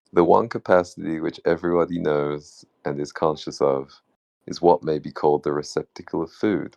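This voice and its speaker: A man reading a spiritual text aloud in measured, deliberate phrases. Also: extremely low pitch, vocal fry, darkish tone, dry recording. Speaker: participant reading in a book club.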